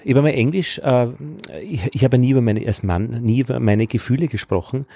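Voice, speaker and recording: A man with an Austrian accent talks in a relaxed, mellow voice at a medium pitch. He feels his way through his thoughts with hesitations, as a teacher would in an informal discussion. The sound is very dry and close. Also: dry recording. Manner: hesitant, halting, searching for words. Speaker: teacher at an evening school, podcast host. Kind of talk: casual reflective discussion.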